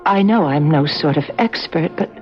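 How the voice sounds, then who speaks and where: An actress playing a gentle, hesitant, anxious young woman in a radio mystery drama. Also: sits low, expressive, moderate pace. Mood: gentle, concerned. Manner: hesitant, pleading. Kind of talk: 1970s radio mystery acting. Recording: muffled old radio recording with music.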